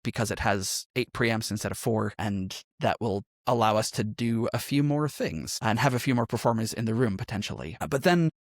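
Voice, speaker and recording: A man's voice: fairly low pitch, normal speed, few pauses, no room sound, clean studio sound. A man speaking as a podcast guest in a conversational interview, explaining things in a thoughtful way. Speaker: podcast guest, musician and role-playing game performer.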